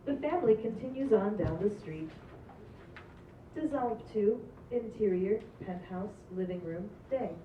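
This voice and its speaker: A woman's voice: medium pitch, expressive, a little slow. A woman reading stage directions as scripted narration at a table read. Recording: echoey, distant, dull, slightly noisy room.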